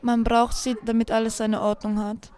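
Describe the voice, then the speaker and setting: A woman's voice: fairly high pitch, little pitch movement, normal speed, darkish tone. A teenage girl reading explanations from a script for a school podcast. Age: teens. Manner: reads script lines. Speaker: student podcast speaker.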